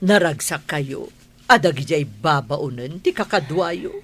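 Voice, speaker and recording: A woman's voice: deep voice, animated, measured pace, fairly bright tone, heavily acted. A woman playing a stern, haughty mother and mistress of the house in a radio drama, scolding and imperious. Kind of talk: Ilocano radio drama acting.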